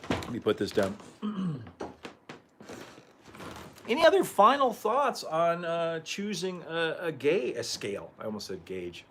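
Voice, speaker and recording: A man hosting a casual livestream chat, rambling and chuckling, clearing his throat as he loses his voice. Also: a little high, huge pitch swings, a little slow, pauses often, a bit bright, a little hiss or hum. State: losing his voice. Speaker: livestream host.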